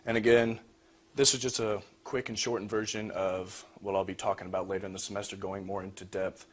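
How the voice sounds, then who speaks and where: A young man with a fairly low voice gives an informal student presentation about his own work. The recording carries a little background noise. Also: level tone, brisk. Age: young adult. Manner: frequent throat clearing. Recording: noisy room recording.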